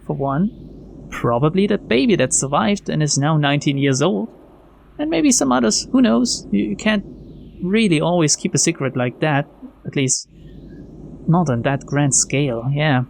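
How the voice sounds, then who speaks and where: A man's voice: a little high, expressive, moderate pace, creaky, a little hiss or hum. A man hosting a game video, reading text aloud and adding wry, casual commentary. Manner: informal, wry. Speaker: gaming video host. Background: soft game music.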